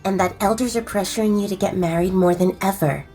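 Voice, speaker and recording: A woman's voice: medium pitch, brisk, warm tone, faint music behind, lightly acted. A woman voicing a blunt, confident young she-wolf fashion model in an audio drama, speaking directly and persuasively.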